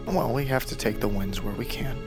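An actor playing an easygoing, teasing young man in a naturalistic fiction podcast, speaking in a playful, drawn-out way. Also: medium pitch, music in the background, natural voice. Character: easygoing, teasing man playing bartender among trapped crew.

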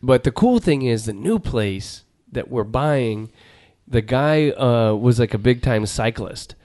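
Middle-aged male host, deadpan and rambling, dry close sound, garage podcast. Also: medium pitch, slow pace, no echo. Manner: rambling, deadpan, trails off and restarts. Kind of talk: casual joking banter. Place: home garage studio.

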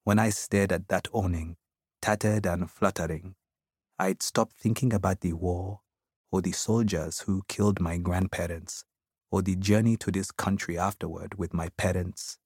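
A man's voice: sits low, steady tone, normal speed, a little mellow, husky, dry recording, studio quality. A man narrating an audiobook as a reflective immigrant, with an African accent. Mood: wistful, reflective.

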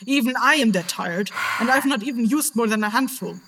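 A woman's voice: a little high, fairly quick, noisy background, natural voice. An actress playing a weary, wary woman in charge in a fantasy audio drama, sighing and dry. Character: weary, wary female rune worker in charge.

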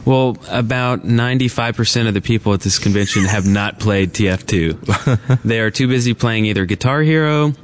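A man's voice: fairly low pitch, normal speed, hardly any pauses, slight background noise. A man co-hosting a live podcast at a convention, telling a rambling story full of fillers. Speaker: podcast co-host. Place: convention floor.

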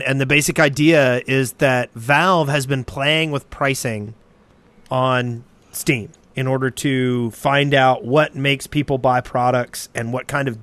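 A man's voice: medium pitch, fairly bright tone. A man co-hosting a podcast in casual group chat, with dry, wry humor. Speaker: podcast co-host.

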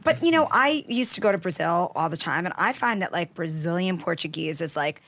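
Lively chatty woman podcast host. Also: lowish voice, dry recording. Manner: upbeat and chatty, quick to agree. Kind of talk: casual chat.

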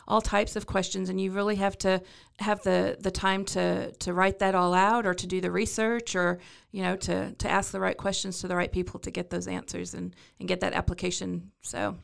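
A woman's voice: mid-range voice, creaky. A woman from England, a chamber of commerce staffer, chatting as a guest in a friendly, self-deprecating way with many fillers and restarts. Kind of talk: casual interview chat.

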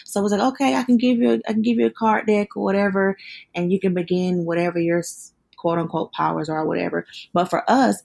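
A woman's voice: fairly low pitch, creaky, darkish tone. A woman from South Carolina with a Southern accent, chatting casually as a guest about her tarot work, with lots of "like" and "you know". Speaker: tarot reader and Reiki healer, guest. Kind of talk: casual podcast chat.

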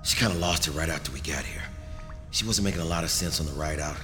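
A man's voice: very deep voice, fast talker, music in the background. An actor playing a frightened captive man named Bradley, pleading in panic. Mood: shaken. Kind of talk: radio drama acting.

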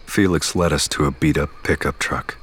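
An actor playing a weary, haunted man who recounts his journey in solemn, measured audio fiction narration. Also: a bass voice, steady tone, fairly bright tone, slight background noise. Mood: somber. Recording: music under the voice.

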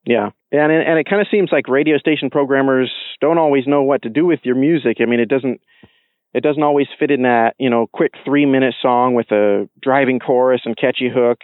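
A man's voice: mid-range voice, steady tone, a little fast, no room sound. Male interviewer with thin phone-like sound. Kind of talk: conversational interview questions.

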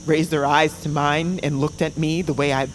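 A man playing a desperate, guilt-ridden bird-folk assassin on the run in a fantasy audio drama, speaking in a halting, intense way. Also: a little high, level tone, a little hiss or hum, natural voice, low-quality recording. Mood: haunted, halting.

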